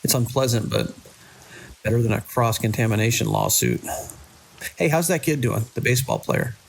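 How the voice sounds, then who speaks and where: Gravelly, officious hospital director played by a man. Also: medium pitch, poor audio. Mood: businesslike, reassuring. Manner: brisk, officious, dismissive reassurance. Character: hospital director, controlling man downplaying a growing crisis. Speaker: actor in an audio drama. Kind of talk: dramatic audio drama acting.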